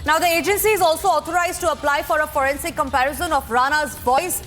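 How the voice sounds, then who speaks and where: Woman, Indian English accent, news anchor, high-pitched, live bulletin delivery, urgent phrasing. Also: fast talker, a bit bright, faint music behind.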